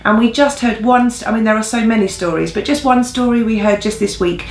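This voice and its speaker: A woman's voice: a little high, fairly even intonation, fairly quick, slight background noise. A woman co-hosting an informal church news update in an earnest, encouraging way. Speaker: church newsreel co-host. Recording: echoey room sound.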